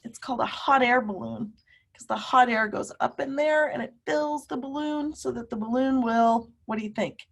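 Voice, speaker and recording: A woman teacher reading a picture book aloud to children, pausing to ask them simple, encouraging questions. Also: on the high side, creaky.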